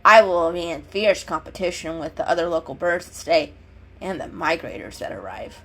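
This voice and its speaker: A woman's voice: sits low, normal speed, creaky, a bit bright, husky, full character voice. Woman voicing a chirpy, emphatic young robin. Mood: earnest. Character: cheerful young male robin, friendly and frank. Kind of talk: dramatic storybook reading. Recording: music behind the reading.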